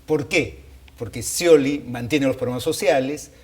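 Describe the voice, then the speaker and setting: A man giving unscripted analysis as a panelist, explaining deliberately and repeating points for emphasis. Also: medium pitch, a little mellow. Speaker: political panelist.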